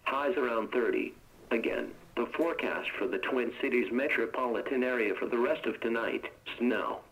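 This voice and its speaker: A male text-to-speech announcer reads weather forecasts in a level, unhurried monotone. His pitch sits squarely in the ordinary male range, and each phrase comes out clipped and evenly paced, like an automated broadcast. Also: low-quality recording. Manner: steady, even, monotone delivery.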